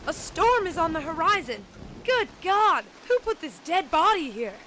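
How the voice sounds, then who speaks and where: A woman playing a yacht captain who narrates an amateur murder mystery audio drama in a dramatic, measured storytelling way. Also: very high voice, sing-song, bright, forceful tone, a little hiss or hum, full character voice. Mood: alarmed.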